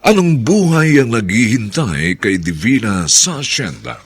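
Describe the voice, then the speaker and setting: A man's voice: mid-range voice, big pitch swings, husky. A man with a Filipino accent delivering solemn, theatrical scripted narration as a radio drama announcer. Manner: solemn, theatrical, drawn-out emphasis.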